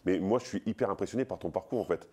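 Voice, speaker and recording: A husky-voiced man chatting casually as a sports pundit, informal and repeating words for emphasis. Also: low voice, very fast, warm tone. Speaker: basketball pundit. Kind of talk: casual studio chat.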